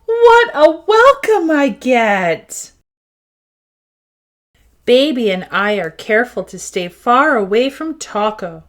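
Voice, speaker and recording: An eager, naive young puppy , voiced by a woman, tells its own picture-book story. The voice is a little high and swings widely in pitch, childlike and exuberant, with slow pacing for small listeners. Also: a bit bright, heavily acted. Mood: joyful. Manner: exuberant, wide-eyed, childlike. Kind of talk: storybook read-aloud.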